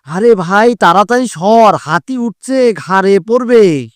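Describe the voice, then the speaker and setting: A man acts out an excitable character from an animated village fable. His voice sits a little high and is emphatic and exclamatory, recorded dry. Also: slow pace, no room sound. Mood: alarmed. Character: excitable rustic farmers and a king in folktale.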